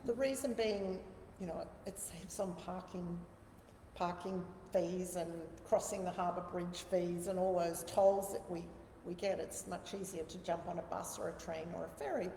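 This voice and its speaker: A woman's voice: lowish voice, steady tone, moderate pace, vocal fry, dark tone. A middle-aged woman with an Australian accent, chatting reflectively and giving her opinions as an interview guest. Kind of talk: casual conversational interview. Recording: echoey reverberant room with background noise.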